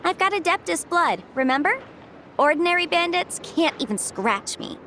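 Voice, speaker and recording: A woman voicing a chirpy, mischievous young half-immortal legal consultant with horns in a video game, talking in a playful, breezy, animated way. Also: very high voice, normal speed, slight background noise, heavily acted. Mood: breezy, confident.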